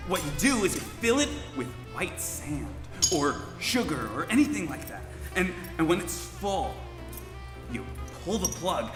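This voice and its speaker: An actor playing an eccentric, flustered know-it-all in a comic stage radio play, halting, insistent and repeating himself. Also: male voice, high-pitched. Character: eccentric, flustered male know-it-all explaining things badly. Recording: echoey hall with music behind.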